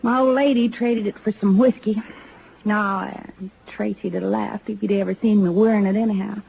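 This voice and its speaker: A woman's voice: medium pitch, steady tone, moderate pace, creaky, a little mellow, close to an everyday voice. An American woman playing a hot-tempered young frontier gunslinger in a radio western, with folksy, ungrammatical, combative talk. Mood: wistful. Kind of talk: old-time radio western acting. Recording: noisy old radio drama recording.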